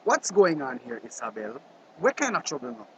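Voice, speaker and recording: A man's voice: fairly high pitch, huge pitch swings, a little fast, bright, forceful tone, lo-fi sound, a little hiss or hum, exaggerated voice. A man playing an authoritative small-town police officer in a radio drama. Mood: questioning.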